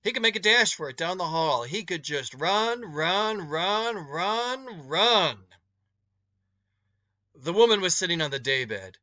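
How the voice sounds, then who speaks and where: Male narrator, expressive story reading, bright punchy tone, fairly high pitch, dramatic pauses, slightly dull recording. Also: dry recording. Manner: deliberate, dramatic pauses and emphasis.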